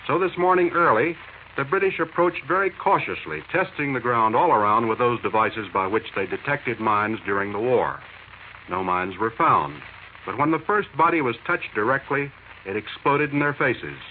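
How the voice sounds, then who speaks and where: A man's voice: mid-range voice, expressive, normal speed, phone-quality sound, slight background noise. An American man delivering 1940s radio news commentary on an old broadcast recording.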